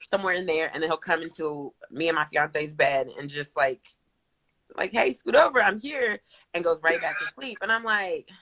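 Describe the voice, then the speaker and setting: A young woman from Kentucky. Her voice is bright and forward, and she tells her stories in an animated, laughing way. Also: low-pitched, normal speed. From Kentucky, USA. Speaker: guest. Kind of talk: casual interview.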